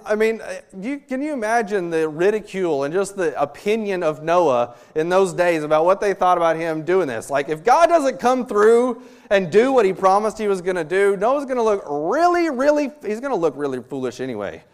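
A young man with a high-pitched voice preaches a sermon with lively energy. He acts out small scenes and throws in jokes as he speaks to the congregation. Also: normal speed. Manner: animated, acts out quotes with humor. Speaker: missionary preacher.

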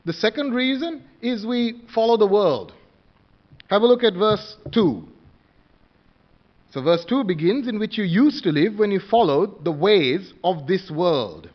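High-voiced man preaching a sermon. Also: lo-fi sound. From Sri Lanka. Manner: earnest, questioning, often restarts phrases.

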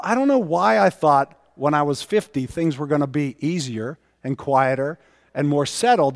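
A man's voice: fairly high pitch, studio quality. Man in his fifties preaching, reverberant hall. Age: 50s. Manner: emphatic pauses and repetition for weight. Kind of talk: sermon to a congregation.

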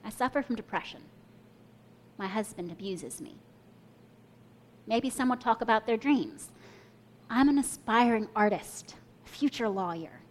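A woman's voice: fairly high pitch, expressive, unhurried, long pauses. Reflective woman preaching a sermon. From Kenya and the US. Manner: reflective storytelling, invites listeners to imagine.

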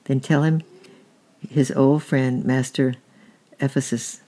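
A man reading as a gentle devout traveler. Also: mid-range voice, steady tone, slow pace, poor audio. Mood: courteous. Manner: gentle, earnest.

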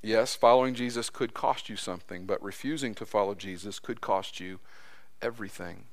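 A man preaching a sermon in a church, impassioned, his voice climbing high as he acts out quotes, then dropping low and soft. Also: normal speed. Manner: impassioned, voice rising high when acting out quotes. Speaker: pastor.

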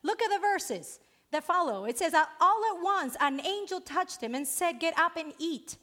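A woman's voice: very high-pitched, expressive, normal speed, bright, forceful tone. A woman in her thirties with a Spanish accent, preaching a sermon earnestly and emphatically.

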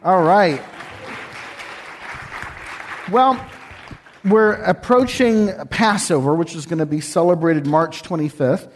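A man's voice: high voice, takes it slowly, long pauses, some chatter behind. A man from Texas, a guest speaker giving a folksy, joking sermon in a church. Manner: folksy, joking, sings with congregation. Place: church sanctuary.

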